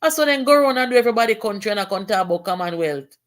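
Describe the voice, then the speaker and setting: A woman's voice: medium pitch, expressive, very fast. A woman from Jamaica with a Jamaican accent, reading a political letter aloud and breaking into Patois to comment on it. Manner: switches to Patois, exclamatory, rising indignation.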